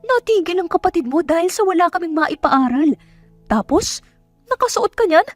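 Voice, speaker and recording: A woman acts out a furious middle-aged mother from the provinces in an audio drama. Her voice climbs very high as she shouts and scolds with open contempt. It is recorded close and dry over music. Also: expressive, normal speed, no room sound. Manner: shouting, scolding, spitting out harsh questions. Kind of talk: Tagalog audio drama acting.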